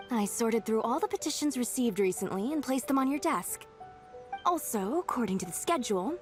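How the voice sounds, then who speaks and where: A woman's voice: on the high side, lightly acted. A woman playing a dutiful young female shrine attendant who speaks in a polite, businesslike way. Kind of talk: video game voice acting.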